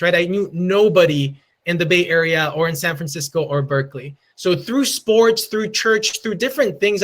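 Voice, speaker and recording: Man in his twenties, peruvian spanish accent, native spanish speaker, high voice, earnest motivational talk, animated storytelling. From Peru. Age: 20s. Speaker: speaker giving a lightning talk.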